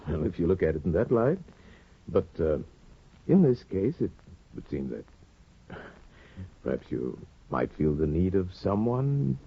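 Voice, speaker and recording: Deep, suave, sly judge in old radio drama. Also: male voice, sing-song, full character voice. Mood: sly, probing. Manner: smooth insinuation, courtly, hesitant pauses for effect. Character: suave, insinuating older bachelor judge, worldly and sly. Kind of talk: 1950s radio drama acting. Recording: dull vintage 1950 radio recording.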